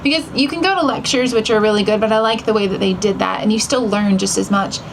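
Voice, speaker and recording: A woman's voice: mid-range voice, quick pace, vocal fry, a little hiss or hum, rough recording. A woman chatting casually for a travel vlog in a self-deprecating way. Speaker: travel vlogger.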